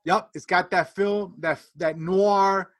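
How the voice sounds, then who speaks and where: Male co-host, 40s, notably high voice, emphatic slangy delivery for an informal fan review show. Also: steady tone, slow pace. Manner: slangy, emphatic, steers the conversation. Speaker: podcast co-host. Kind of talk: casual fan chat, reviewing and reacting.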